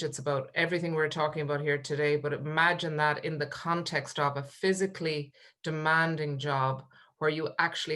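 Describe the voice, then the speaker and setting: Low-voiced woman, pausing. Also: fairly bright tone. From Ireland, Kerry Gaeltacht. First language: Irish. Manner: measured, pausing, frequent ums and uhs. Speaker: trade union leader, panel guest. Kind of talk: webinar discussion. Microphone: video call.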